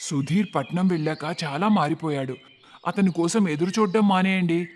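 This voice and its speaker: A man's voice: a little high, brisk, natural voice. A man playing a kindly, obliging brother-in-law in a cartoon story, speaking reassuringly. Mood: concerned. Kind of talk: acted cartoon story dialogue. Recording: faint background noise.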